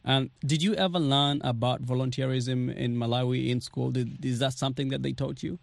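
A man hosting a live radio interview from a studio, phrasing his questions haltingly with repeated words. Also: mid-range voice, steady tone, creaky. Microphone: studio microphone.

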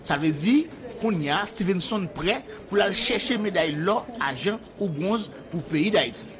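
A man's voice: high voice, expressive, normal speed, a crowd in the background. A man reporting sports news.

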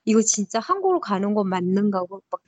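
Interviewee: North Korean-accented woman in her thirties, mellow dark voice, emotional halting storytelling, muffled dry lo-fi capture. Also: mid-range voice, sing-song, dry recording. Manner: emotional storytelling, frequent fillers and restarts. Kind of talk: personal recollection in an interview. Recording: dull lo-fi dry recording.

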